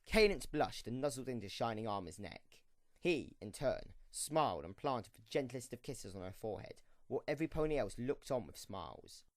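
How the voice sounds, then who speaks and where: A man narrating a scripted story for an audio drama in an expressive storytelling manner. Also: medium pitch, normal speed, no room sound.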